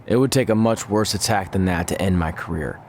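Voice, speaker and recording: A lowish, casual male voice as a good-natured pro wrestler in a video game cutscene. He jokes deadpan in a conversational way, over faint background noise. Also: fairly quick. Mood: easygoing, joking.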